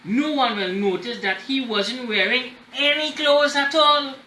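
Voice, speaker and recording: A man reading a children's story aloud in an expressive, deliberate way, as the host of a storytime. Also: extremely high pitch. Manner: animated, deliberate, checking in with listeners. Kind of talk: expressive read-aloud with explanatory asides. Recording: roomy echo, faint background noise.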